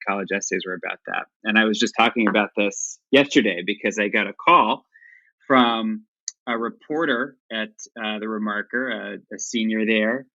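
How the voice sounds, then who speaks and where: A man's voice: fairly low pitch, normal speed, bright, forceful tone, dry recording, highs cut off. A man in his forties from Dallas, Texas, talking as a company founder in a casual interview, often restarting and repeating words.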